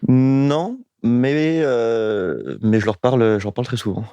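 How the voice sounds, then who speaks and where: A young man from the Paris region, a guest answering questions in a casual interview in a relaxed way, with many short "yeah" replies. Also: medium pitch, slow pace, smooth, dry recording, muffled recording. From Paris region, France. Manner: laid-back, short answers, many "ouais".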